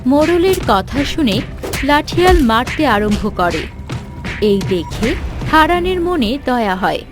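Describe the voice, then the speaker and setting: A woman's voice: high voice, sing-song, a little slow. A woman narrating a scripted village story. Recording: clear music behind the voice.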